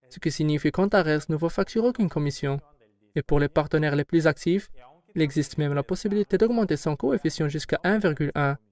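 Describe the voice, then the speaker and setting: A man heading a company, giving formal, measured and assertive answers in an interview. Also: a little high, a little mellow, no room sound. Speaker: company head answering questions.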